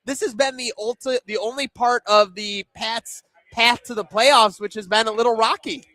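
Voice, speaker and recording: A man's voice: very high-pitched, fairly even intonation, normal speed, sharp tone, no echo, low-quality recording. A young man co-hosting a sports pre-game show from a pizza pub, chatting and joking casually.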